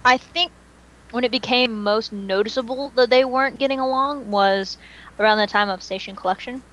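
A woman co-hosting a casual fan podcast, talking in an animated, slangy way and swearing casually. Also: on the high side, normal speed, muffled recording. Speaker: podcast co-host.

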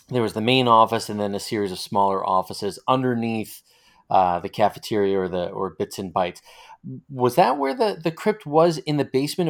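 A middle-aged man hosting a conversational interview, working his way into questions in a tentative, roundabout manner. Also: medium pitch. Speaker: interviewer, host. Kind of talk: conversational oral-history interview.

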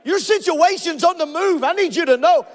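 Breathless high-voiced preacher, fervent. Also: male voice, moderate pace. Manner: fervent, repeating phrases, shouting and dropping to low murmurs. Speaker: pastor. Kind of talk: Pentecostal preaching and prayer. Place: church sanctuary with congregation.

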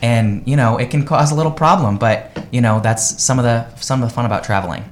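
A man's voice: mid-range voice, brisk. Upbeat, enthusiastic man guesting on live radio. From United States. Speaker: guest, real estate agent.

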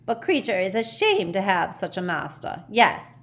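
A woman voicing a sullen old male house elf, croaking and grudgingly servile, speaking in the third person. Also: mid-range voice, big pitch swings, normal speed. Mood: resentful. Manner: muttering insults, third person.

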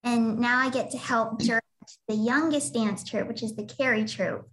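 A woman's voice: fairly high pitch, fairly even intonation, moderate pace, a bit bright, poor audio. A young woman guest speaking bubbly and giggly about her dancing. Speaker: dance director.

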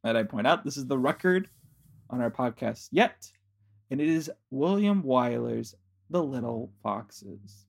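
A man's voice: medium pitch, animated, creaky. A man from Chicago with a Chicago accent, hosting a casual podcast chat in a playful, joking way. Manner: playful, jokey, quick asides. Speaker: podcast host.

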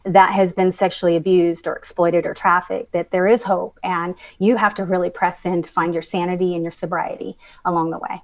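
Woman, guest, personal testimony, emphatic storytelling, lowish pitch. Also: level tone, brisk, few pauses.

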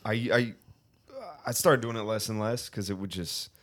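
A man's voice: lowish voice, steady tone, normal speed, a bit bright. A man from Southern California with an American accent, chatting casually and candidly as a podcast guest. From Southern California, United States.

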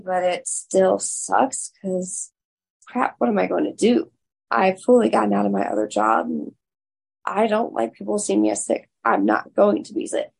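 A woman guest with a raspy voice, speaking loudly and frankly in a candid interview. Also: mid-range voice. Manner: loud, frank and open.

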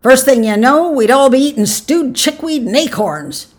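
A woman reader puts on a stubborn old man from a storybook, raised in pitch and loud. He grumbles with petulant scorn, stoutly sure that the old ways are right. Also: animated, moderate pace. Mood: scornful, petulant. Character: stubborn, crotchety old man, lottery traditionalist. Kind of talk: storybook character voice in audiobook narration.

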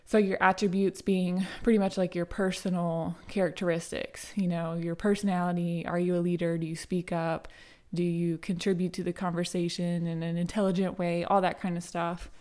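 A young adult woman hosting a casual solo podcast, her throat a little raspy today, telling a story in a chatty way with plenty of fillers and restarts. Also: lowish voice, flat delivery, moderate pace, warm tone. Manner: chatty, many fillers and restarts, light laughs. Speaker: podcast host.

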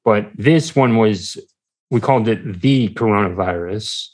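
Man, fairly low pitch, interview guest, casual conversational talk, hesitant, searching for words. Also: unhurried, darkish tone. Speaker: podcast guest, entrepreneur.

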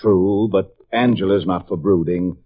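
An actor playing a blustery, gruff middle-aged college football coach with a husky voice in a 1950s radio drama. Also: male voice, low-pitched, measured pace. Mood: brusque. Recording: muffled vintage radio recording.

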